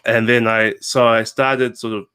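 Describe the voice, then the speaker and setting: Bright-voiced young New Zealand man. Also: medium pitch, unhurried, clear, resonant tone. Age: 20s. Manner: relaxed, rambling with many fillers and restarts. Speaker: guest game designer. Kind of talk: casual interview chat.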